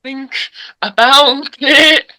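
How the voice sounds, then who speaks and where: Woman doing a psycho young slasher guy, bright edgy voice, slow mocking taunts, horror table read. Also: sits high, steady tone, full character voice. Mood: bitter, menacing. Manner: halting, drawn-out, taunting delivery. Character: unhinged young male slasher killer, mocking and menacing. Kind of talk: dramatic script table read.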